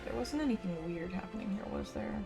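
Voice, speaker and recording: A woman's voice: medium pitch, huge pitch swings, fast, creaky. A woman hosting a casual game playthrough, chatting and reading quest text aloud. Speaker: gaming video host. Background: game music.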